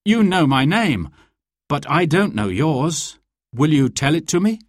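A man's voice: on the high side, sing-song, a little slow, no room sound, studio quality, voice pushed up. A man voicing a brave, earnest young outlaw hero in a storybook adventure. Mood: grateful, curious.

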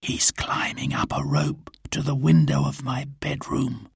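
A man's voice: medium pitch, very animated, measured pace, no echo, studio quality. A man voicing a brave, earnest young outlaw hero in a storybook adventure. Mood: urgent whisper.

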